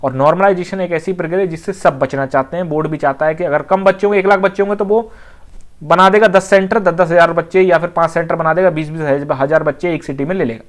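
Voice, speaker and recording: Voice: mid-range voice, steady tone, fast. Exam coach explaining informally. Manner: conversational, with frequent check-in phrases and repetition.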